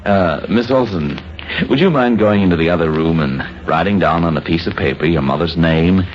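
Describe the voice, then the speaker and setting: An actor playing a courteous, self-assured young psychic in an old-time radio drama. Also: male voice, low-pitched, normal speed, close to an everyday voice. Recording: dull old radio recording.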